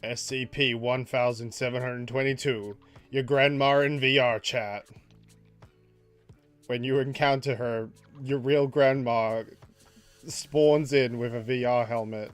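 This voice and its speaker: A man casually chatting on a livestream while he draws, reading out chat messages, laughing often and jumping up in pitch for jokes. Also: steady tone, slow, clean tone, faint music behind.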